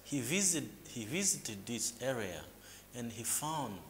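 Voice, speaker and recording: A man from Mozambique, explaining local history as an interview guest in a measured, lecturing way, with hesitant fillers and restarts. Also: mid-range voice, sing-song, a bit bright. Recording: reverberant room with faint background noise.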